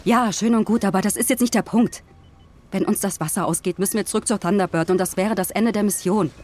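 A woman's voice: mid-range voice, fast, a little mellow, natural voice. An actress playing a blunt, pragmatic woman astronaut in an audio drama, speaking firmly and matter-of-factly. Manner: firm, matter-of-fact. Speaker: crew member.

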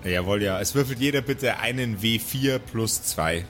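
A man's voice: fairly low pitch, moderate pace, fairly bright tone. A man acting as game master, narrating an improvised tabletop role-play in a playful, theatrical announcing way. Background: faint background music.

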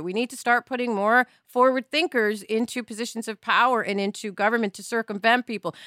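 A middle-aged woman speaks in a fairly high, very bright and forceful voice. She is blunt and emphatic, giving her opinions as a podcast host, close and dry on the recording. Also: no room sound.

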